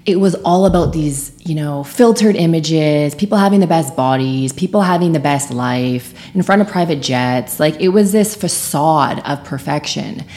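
A woman co-hosting a podcast about online coaching, explaining points in a clear, confident way. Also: low voice, normal speed, hardly any pauses, a little mellow. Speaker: podcast co-host. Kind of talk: casual podcast talk.

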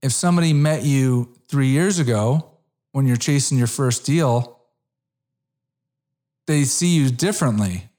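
Man in his fifties, mid-pitched voice, chatty interviewer, fond of "right?" and quick restarts. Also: warm tone. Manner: conversational, restarts, frequent "you know" and "right?". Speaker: interview host. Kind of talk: casual interview.

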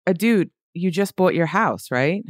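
A woman's voice: lowish voice, lively intonation, measured pace, no room sound, clean studio sound. A woman interviewing a guest, asking attentive, probing questions. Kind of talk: conversational interview.

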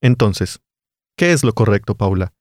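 A man's voice: lowish voice, lively intonation, unhurried, dry recording, studio quality. A man with a Colombian accent, co-hosting an educational podcast and asking quiz-style questions. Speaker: podcast co-host.

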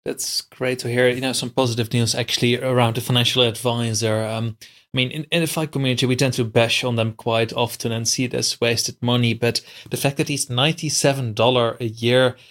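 Flat-voiced male podcast host. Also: medium pitch, a little fast. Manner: frequent fillers like uh. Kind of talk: interviewing a guest.

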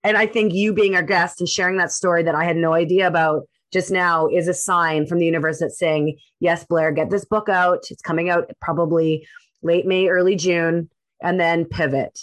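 A woman's voice: fairly low pitch, fairly even intonation, normal speed. A woman co-hosting a podcast, talking candidly in long run-on sentences. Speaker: co-host. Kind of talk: casual podcast talk.

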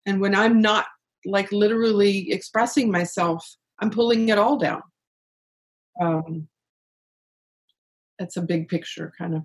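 A middle-aged woman speaking earnestly and reflectively as an interview guest about purpose and spirit, with soft laughs. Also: medium pitch, fairly even intonation, measured pace, long pauses. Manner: earnest, searching, laughs softly. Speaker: guest, author on life purpose.

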